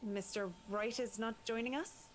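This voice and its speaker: A reserved professional woman designer voiced by an actress in a visual novel game. Her voice is fairly high, her manner formal and measured, with faint noise behind it. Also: steady tone, natural voice. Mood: guarded.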